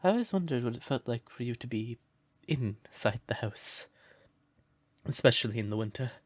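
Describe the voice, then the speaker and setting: A man playing a shy, sweet, curious crow turned human in a roleplay audio, speaking gently and breathily with hesitant stammers. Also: mid-range voice, expressive, normal speed, dry recording, lightly acted. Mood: shy, grateful. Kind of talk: soft roleplay audio, intimate character acting.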